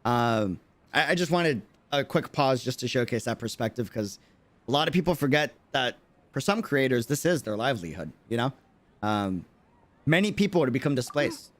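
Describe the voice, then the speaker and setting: A man's voice: mid-range voice, animated, normal speed, dry recording. A man giving casual livestream game commentary, earnest and slangy, often repeating himself for emphasis. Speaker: streamer.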